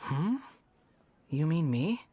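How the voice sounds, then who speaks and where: A man's voice: a little high, sing-song, slow pace, crowd noise. An actor playing a polite, eager young male wanderer in a video game, earnest and a little hesitant. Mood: apologetic.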